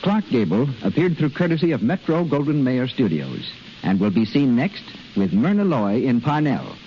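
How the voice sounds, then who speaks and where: A man's voice: mid-range voice, very animated, normal speed. An American man announcing from a script in 1930s radio style. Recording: hissy, muffled 1930s radio broadcast.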